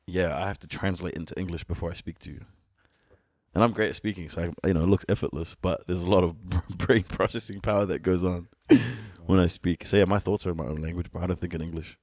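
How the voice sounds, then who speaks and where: Deep gravelly nz-guy in his 30s, laid back, talks easy and laughs a lot, dry close mic. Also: fast, no echo. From born in Sudan, raised in New Zealand. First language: an Ethiopian language. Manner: relaxed, candid, laughing often. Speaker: musician guest. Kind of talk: casual podcast conversation.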